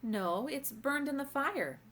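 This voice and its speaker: A woman's voice: sits high, expressive, slow pace, a bit bright, slight background noise, voice pushed up, full character voice. Woman voicing an eager little storybook princess, lilting upward. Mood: puzzled, dismayed. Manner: exclaiming, wide-eyed questioning. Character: eager, polite little princess, sweet and curious. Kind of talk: storybook read-aloud character voice.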